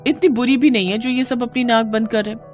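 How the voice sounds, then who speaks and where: A woman voicing a greedy, shameless, self-pleased female schoolteacher in an animated moral story, with a brazen, gleeful, drawn-out delivery. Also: on the high side, soft background music, voice pushed up, lightly acted. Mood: nonchalant.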